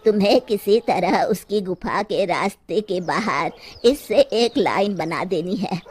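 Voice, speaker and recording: A calm old-world holy man acted out by a woman in a cartoon folktale. The pitch is lowered and the delivery slow and measured, giving solemn counsel over faint noise. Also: natural voice. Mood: solemn, instructive.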